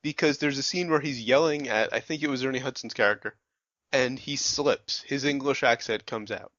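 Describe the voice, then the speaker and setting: A male podcast co-host with a mid-range voice chimes in with quick corrections and remarks from his notes. The room sounds dry, and the audio is slightly muffled. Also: mid-range voice, fairly bright tone, no echo. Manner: short interjections and quick corrections. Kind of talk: casual film review chat.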